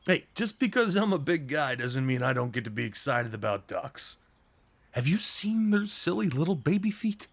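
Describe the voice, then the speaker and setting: Man playing sweet nervous gentle-giant boyfriend, ASMR roleplay, lively intonation, playful teasing, boyish, softly hesitant. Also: fairly high pitch, moderate pace, no echo. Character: big, sweet, nervous young man on first date. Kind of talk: ASMR roleplay audio drama, one-sided conversation.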